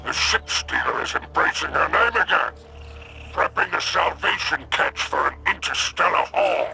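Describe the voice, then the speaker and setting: A scheming alien crime boss with a heavily creaking, gravelly voice and a harsh, bright edge. He is smug and theatrical, drawing out sly phrases that swoop up and down, as a video game villain. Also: on the high side, lively intonation, moderate pace, a little hiss or hum, exaggerated voice, rough recording. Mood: wry, sly. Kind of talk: video game character monologue.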